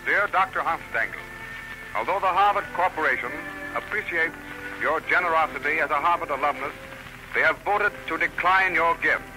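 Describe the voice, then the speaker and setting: An actor playing a stern, formal university president reading out a letter of refusal in a 1930s radio dramatization. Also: male voice, high-pitched, lightly acted, rough recording. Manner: formal, measured.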